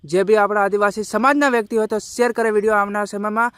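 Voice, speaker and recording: A man giving informal social media commentary to viewers in a halting, repetitive, colloquial way. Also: high-pitched, flat delivery, fairly bright tone, clean tone, no echo. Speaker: video creator and community commentator.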